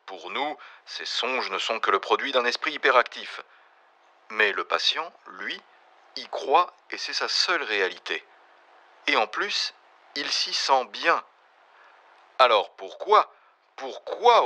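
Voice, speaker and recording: An intense dramatic man as acted by a man with a very bright, forceful voice of middle pitch. He reasons deliberately and emphatically. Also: big pitch swings, heavily acted. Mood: earnest, impassioned.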